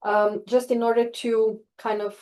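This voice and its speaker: A woman's voice: fairly high pitch, level tone, unhurried, a little mellow. A woman from Istria in Croatia giving an online webinar talk as a tourism researcher, speaking over a video call and hesitating often with fillers and restarts. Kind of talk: online presentation.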